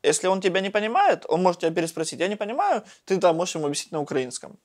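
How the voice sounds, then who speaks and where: A man in a casual discussion, talking quickly and lively, often laughing. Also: sits high. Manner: fast, animated, laughing, acts out quotes.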